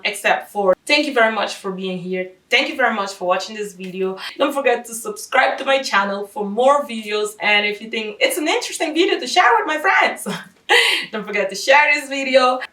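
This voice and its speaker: A woman's voice: medium pitch, recorded from a distance. Chatty woman in an echoey room. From Curaçao. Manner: chatty, laughs often, animated asides. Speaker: vlogger. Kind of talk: casual language-learning tips vlog.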